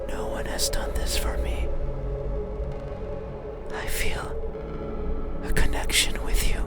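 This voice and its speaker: A man as a slow, whispering horror-game monster. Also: very high voice, heavily acted. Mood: grateful, pleading. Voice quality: breathy unvoiced whisper. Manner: slow, deliberate, formal, brooding. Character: eerie lonely bedside monster, pleading, resentful, emotionally hollow.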